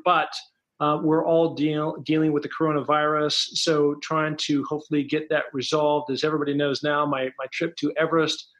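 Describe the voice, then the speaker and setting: A man's voice: on the high side, a bit bright. A middle-aged man hosting an interview podcast, talking in a friendly, rambling way. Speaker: podcast host.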